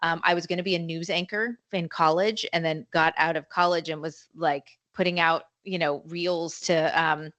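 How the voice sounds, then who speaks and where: A woman in her forties, telling her story as a podcast guest in a chatty, animated way. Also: lowish voice, normal speed, few pauses, no echo. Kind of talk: casual storytelling interview.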